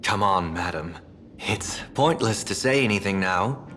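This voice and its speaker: Deep-voiced, composed gentleman. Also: unhurried, slight background noise, full character voice. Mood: dry. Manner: calm, formal. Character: composed, courteous gentleman, calm and dryly practical. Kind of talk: video game voice acting.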